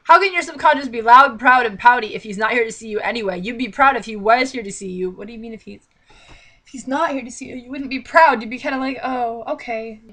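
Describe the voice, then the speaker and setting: Young female vlogger, fairly high pitch, theatrical and exasperated, reading aloud with mocking commentary. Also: quick pace, sharp tone. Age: young adult. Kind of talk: mocking read-aloud with sarcastic running commentary. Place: small indoor bedroom.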